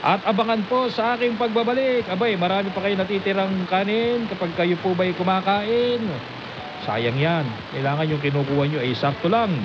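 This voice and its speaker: A man's voice: high voice, normal speed, background noise. A Filipino man hosting a radio program, talking conversationally and politely to his listeners. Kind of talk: live radio talk, addressing listeners. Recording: dull AM radio reception, music behind. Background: music.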